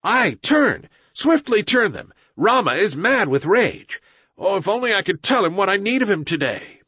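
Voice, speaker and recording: A man's voice: on the high side, big pitch swings, normal speed, fairly bright tone, breathy edge, dry recording, dull audio, full character voice. A man reading aloud and voicing an eager jungle boy who gives urgent, commanding orders in old-fashioned speech. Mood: excited.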